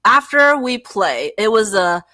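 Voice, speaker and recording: Bright dry-sounding woman, English not her first language. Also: fairly high pitch, unhurried, dry recording. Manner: lively, laughing, self-deprecating. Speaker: guest content creator. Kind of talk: casual livestream chat.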